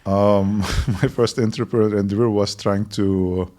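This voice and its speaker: A man of about fifty from Turkey with a Turkish accent, answering interview questions reflectively with halting phrasing. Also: on the low side, steady tone, a little mellow. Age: around 50. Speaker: interview guest, technology entrepreneur.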